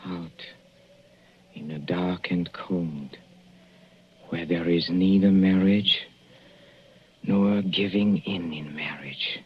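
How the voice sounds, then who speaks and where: A man playing a possessive, cruelly polite husband in a 1940s radio thriller, speaking in a deliberate, sardonic and halting theatrical manner. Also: low voice, steady tone, breathy edge, heavily acted. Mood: weakening, vengeful. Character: possessive, cruelly polite husband poisoning his wife, himself failing. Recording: muffled, noisy old radio recording.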